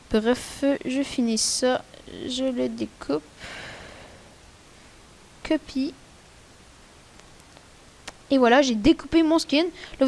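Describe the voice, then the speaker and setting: A woman with a Québécois French accent, rambling casually through a screen-recorded software tutorial in a chatty, slangy way. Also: sits high. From Quebec. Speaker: tutorial maker.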